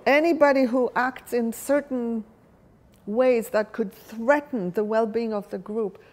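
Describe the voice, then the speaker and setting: A woman's voice: a little high, a little mellow. A woman whose first language is Hebrew, leading a workshop and thinking aloud while she asks the room questions. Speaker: workshop facilitator. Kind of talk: live workshop talk with audience questions. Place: workshop room with a live audience.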